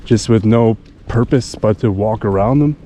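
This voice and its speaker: A man's voice: lowish voice, normal speed, soft background music. A man vlogging as he explores abandoned grounds outdoors, chatting casually and joking around. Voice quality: animated, joking. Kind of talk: casual chat while walking.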